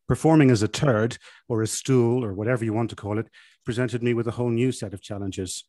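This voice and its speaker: A man reading a scripted comic monologue aloud in a deadpan, self-satisfied manner. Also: mid-range voice, brisk, no room sound, studio quality.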